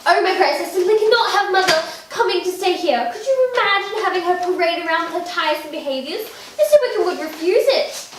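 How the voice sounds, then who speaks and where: A woman's voice: very high voice, animated, fairly quick, few pauses. A woman playing a vain, giddy, petulant young Regency wife in a theatrical monologue, with affected, genteel, dramatic delivery. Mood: jealous, petulant. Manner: affected, dramatic, genteel old-fashioned phrasing. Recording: echoey room, distant mic, faint noise.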